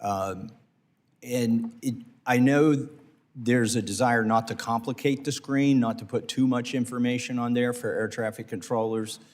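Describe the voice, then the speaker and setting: A congressman questioning witnesses at a committee hearing, halting with restarts and fillers. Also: mid-range voice, fairly even intonation, big-room sound. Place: hearing room.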